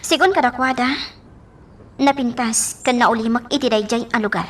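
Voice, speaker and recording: A woman's voice: fairly high pitch, moderate pace, close to an everyday voice. A woman with an Ilocano Filipino accent playing an inquisitive, insistent young mistress of a mansion in a radio drama.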